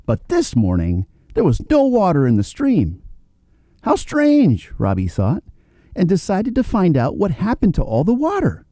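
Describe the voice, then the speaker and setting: Very mellow, animated male storyteller. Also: a little high, normal speed, no echo. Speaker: storybook narrator. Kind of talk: scripted children's story read-aloud.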